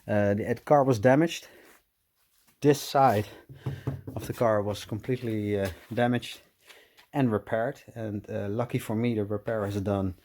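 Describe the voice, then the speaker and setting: A middle-aged Dutch man with a Dutch accent, speaking English with many pauses and fillers as he shows his car project in a garage. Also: fairly low pitch, fairly even intonation, a little mellow, a little hiss or hum. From Netherlands. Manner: hesitant, many uhs, apologetic. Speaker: car restoration vlogger. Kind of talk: casual walkaround vlog.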